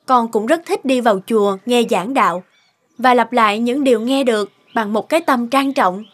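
A woman playing a gentle, thoughtful mother in a cartoon. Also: on the high side, normal speed, lightly acted. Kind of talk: cartoon dubbing.

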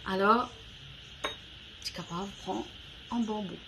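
A woman in her forties speaks slowly and deliberately to a device's built-in mic, pausing between short phrases. She talks in a language that is not her first and searches for words. Faint outdoor ambience drifts in from the garden veranda around her. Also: medium pitch, vocal fry, noisy background. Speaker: founder of an eco-products platform giving a message. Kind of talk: prepared short address to camera. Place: covered veranda outdoors, garden greenery behind.

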